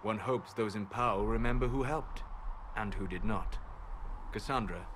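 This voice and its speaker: A man playing a calm, aloof, learned mage in a fantasy video game, speaking formally and precisely. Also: fairly low pitch, moderate pace, close to an everyday voice. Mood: composed, grave. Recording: game audio with clear background noise.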